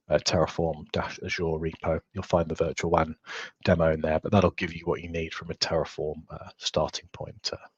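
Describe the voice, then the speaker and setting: A man in his thirties with a croaky, hoarse voice, giving a relaxed webinar presentation from a home office over a headset. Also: a bass voice, no echo, highs cut off. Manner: relaxed, informal, frequent fillers. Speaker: guest presenter.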